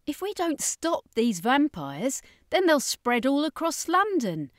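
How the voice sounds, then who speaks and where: A woman's voice: high-pitched, sing-song, measured pace, no echo, clean studio sound, voice pushed up. A woman reading a storybook, voicing an insistent, ruthless witch. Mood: urgent, grave.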